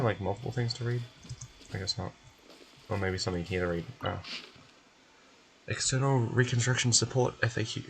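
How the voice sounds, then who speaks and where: A man's voice: medium pitch, rough recording. A man streaming a game, reading its written text aloud as he plays. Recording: music behind.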